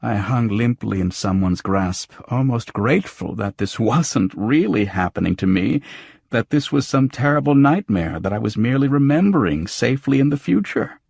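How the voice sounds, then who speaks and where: A man's voice: medium pitch, expressive, moderate pace, vocal fry, darkish tone, slightly rough, no echo, clean studio sound. A man reading a first-person noir audiobook, telling the story in a dramatic manner with emphatic swells. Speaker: audiobook narrator.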